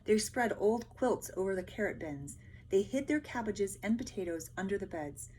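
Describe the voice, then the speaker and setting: A woman's voice: medium pitch, expressive, moderate pace, darkish tone, a little hiss or hum, rough recording. A woman teacher speaking casually to pupils, explaining and reading a storybook aloud. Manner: explanatory, addressing pupils directly.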